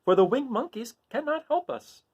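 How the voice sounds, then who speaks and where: A man's voice: extremely high pitch, animated, normal speed, slightly rough, dull audio, pitched above the performer's own voice, full character voice. A man voicing an earnest young farm girl in a children's storybook, with a light, pleading, wistful delivery. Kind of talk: children's storybook read aloud.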